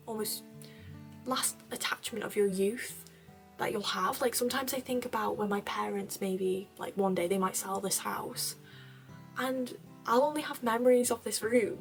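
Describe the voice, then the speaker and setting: A chatty young woman from North Wales talks through viewer questions in a relaxed, rambling way, full of little fillers. Her voice sits at an everyday middle pitch. Faint guitar music plays quietly behind her. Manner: chatty, rambling, many fillers and soft laughs. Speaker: vlogger answering viewer questions. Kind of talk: casual chat. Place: bedroom at her parents' home.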